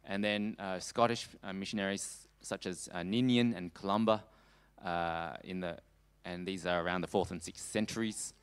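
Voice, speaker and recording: Low, clear-voiced man preaching. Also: steady tone, normal speed, hardly any pauses. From Australia. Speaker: preacher giving a talk on world missions. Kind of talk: sermon with slides, closing in prayer.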